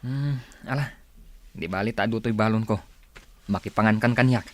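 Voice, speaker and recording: A man's voice: on the low side, moderate pace, close to an everyday voice. A man acting a frank, generous co-worker with a Filipino accent, talking chummily in a radio drama. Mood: frank, urging. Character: friendly, blunt male co-worker, generous buddy.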